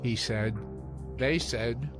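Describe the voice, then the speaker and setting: A man narrating scripture from a script in a measured, solemn manner. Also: medium pitch. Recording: music behind the voice.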